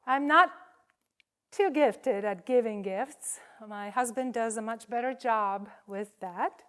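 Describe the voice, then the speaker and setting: A woman giving a conversational sermon to a congregation, speaking as a preacher in short, paused phrases. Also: a little high, fairly bright tone.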